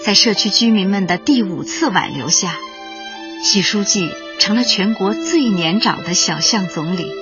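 Narrator: actress as admiring young woman community worker, scripted lyrical read, medium pitch, music bed. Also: close to an everyday voice. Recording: slightly dull sound over prominent music.

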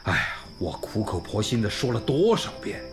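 A man's voice: on the low side, very animated, moderate pace. An actor playing a kindly old village school principal in a radio drama, effusive and homely, sighing and exclaiming as he talks. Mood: fond, animated. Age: older, around sixties. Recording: music bed under the voice.

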